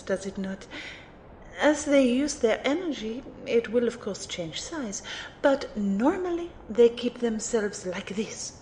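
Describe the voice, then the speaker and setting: In a roomy recording, an actress plays a refined female mentor of magic with a fairly high voice. She speaks formally and precisely, teasing like a playful teacher in an audio drama, and takes her time over every phrase. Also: a little mellow. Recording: roomy amateur recording, faint rumbling noise.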